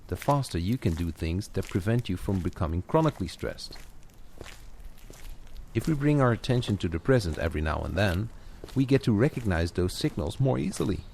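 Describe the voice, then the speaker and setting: A man with a low-pitched voice speaks as a coach guiding a single listener. His delivery is conversational and encouraging, with playful asides. Faint background noise sits behind the voice. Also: a little mellow. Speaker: coach guiding a walking audio session. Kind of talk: guided coaching talk, conversational, addressed to one listener. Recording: clear background noise of outdoor walk effects. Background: added walking footsteps, garden birds, ambience.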